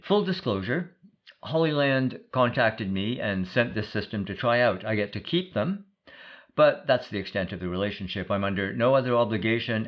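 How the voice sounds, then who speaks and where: A man gives an explanatory product review at a medium pitch. He speaks in a measured, matter-of-fact manner, musing as he goes. The recording is a little dull and muffled.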